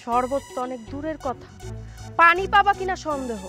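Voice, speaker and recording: A woman acting a sharp-tongued, defiant daughter-in-law who rules the house in a family drama, with a Bangladeshi accent. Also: high voice, lively intonation, normal speed, faint music behind. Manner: commanding, threatening, scornful.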